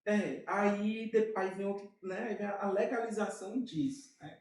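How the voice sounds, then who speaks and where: A man with a Brazilian Portuguese accent, a guest in an interview, telling his story in an animated way with many asides. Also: high-pitched, far from the mic. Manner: animated, with frequent fillers and quick asides. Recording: echoey room, off-mic.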